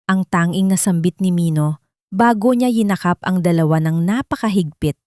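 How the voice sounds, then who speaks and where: A woman's voice: lowish voice, warm tone, dry recording, studio quality. A woman narrating a scripted dramatic story as an audiobook narrator.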